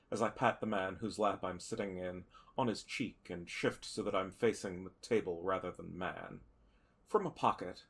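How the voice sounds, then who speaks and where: A man tells a first-person fantasy tale as a tabletop player. His voice is low and husky, with a heavy crackle of fry. The phrasing is literary and deliberate. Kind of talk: dramatic first-person character narration.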